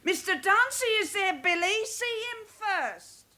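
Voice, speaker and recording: An actress playing an anxious, sharp-tongued middle-aged mother of criminal lads, with a working-class London accent, in a radio drama. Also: extremely high pitch, animated, unhurried, heavily acted. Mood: worried, pleading. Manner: wary, evasive, scolding.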